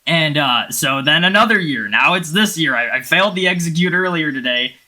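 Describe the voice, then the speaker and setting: Excitable man, bright higher-pitched voice. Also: brisk. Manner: excitable, slangy. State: has a cold. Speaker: gaming video host. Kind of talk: casual excited gameplay commentary.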